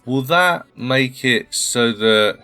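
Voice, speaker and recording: A man's voice: medium pitch, animated, takes it slowly, pushed and bright, clean tone, soft background music. A man hosting a strategy game playthrough, thinking aloud in a polite, chatty way while a little under the weather. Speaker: gaming channel host. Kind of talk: casual let's-play commentary.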